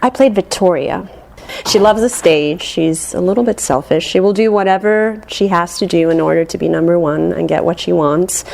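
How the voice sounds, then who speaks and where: A woman's voice: fairly low pitch, normal speed, gravelly, darkish tone, a little hiss or hum. A woman actor from Finland, talking in a thoughtful, chatty way in a radio interview.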